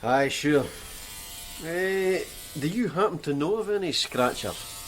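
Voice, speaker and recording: A polite detective. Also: male voice, fairly high pitch, expressive, takes it slowly, a little hiss or hum. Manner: polite, businesslike questioning. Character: polite male police detective, methodical. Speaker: police officer. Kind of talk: radio drama acting.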